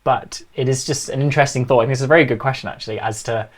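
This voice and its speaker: A lively moderator runs a live online question session for schools. The voice sits at a middle pitch, and the speaker reads out questions, adds quick asides and laughs easily between fillers. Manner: chatty, laughs easily, thinks aloud with fillers. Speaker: panel moderator.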